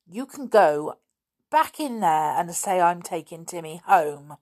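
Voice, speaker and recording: A woman reader plays a proud young tomboy from a children's storybook, quick to take offence. The delivery swings widely in pitch and blurts out, indignant and headstrong. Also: expressive, vocal fry, darkish tone, breathy edge, heavily acted. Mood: furious. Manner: indignant, blurting, hesitant when flustered. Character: hot-tempered, proud young tomboy girl. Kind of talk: children's storybook character reading.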